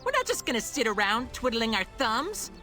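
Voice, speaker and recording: A bright, high, cheeky young disciple. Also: female voice, sing-song, moderate pace, faint music behind. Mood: eager, defiant. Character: spirited, loyal, cheeky young female disciple. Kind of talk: game cutscene acting.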